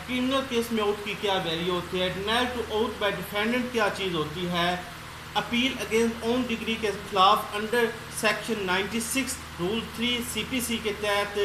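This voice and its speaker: Female law lecturer, slow and explanatory, bilingual delivery, echoing room sound. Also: medium pitch. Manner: switches between English and Hindi-Urdu, explaining each point. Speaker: law lecturer and channel host. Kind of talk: explanatory law lecture, reading legal points. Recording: roomy echo, clear background noise.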